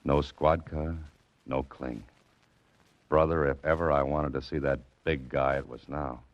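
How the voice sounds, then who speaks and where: An actor playing a hard-boiled private detective, narrating in terse, wry 1940s radio style. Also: male voice, rumbling low, flat delivery, unhurried, no room sound, muffled recording. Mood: tense.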